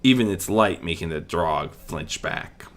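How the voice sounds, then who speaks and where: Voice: deep voice, lively intonation, a little slow. An amateur storyteller reading fiction expressively and chatting in casual asides.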